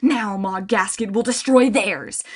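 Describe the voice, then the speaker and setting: A woman voicing an enormous, ugly, hungry female Cyclops who bellows slowly. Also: medium pitch, darkish tone, husky. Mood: vengeful. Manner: bellowing, slow and brutish.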